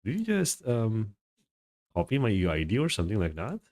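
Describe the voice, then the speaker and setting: Low-voiced man, lively rambling streamer. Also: brisk. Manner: rambling, self-deprecating, searching for words. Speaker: game streamer. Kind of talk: casual live stream chat. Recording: game music behind the voice.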